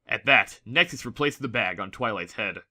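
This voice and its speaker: A man's voice: mid-range voice, lively intonation, moderate pace, pushed and bright. A man reading scripted story narration as the narrator of a dramatic audiobook.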